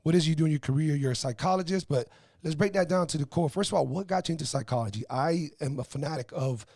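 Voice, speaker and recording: A man hosting a podcast interview, talking in an energetic, informal and enthusiastic way. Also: on the high side, quick pace, vocal fry, dry recording, clean studio sound. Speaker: podcast host. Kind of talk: casual interview.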